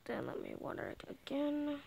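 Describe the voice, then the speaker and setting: Young girl, high pitch, gravelly edge, casual game tour, soft whispers at times. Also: big pitch swings, moderate pace, a little hiss or hum. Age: child. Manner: chatty, drifts into whispers while playing. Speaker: channel owner giving a game house tour. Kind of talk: casual gameplay commentary.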